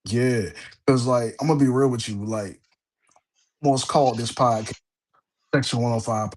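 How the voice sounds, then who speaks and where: A man's voice: mid-range voice, fairly even intonation, moderate pace, rough recording. Rambling man hosting a podcast. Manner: rambling, many fillers and restarts. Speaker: podcast host. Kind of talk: casual chat.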